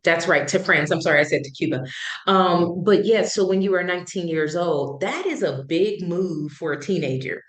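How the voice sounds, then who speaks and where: A woman's voice: on the low side, moderate pace. A woman hosting a podcast, reading a short promo and then introducing and questioning her guest in loose, filler-filled speech. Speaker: podcast host. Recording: echoey room.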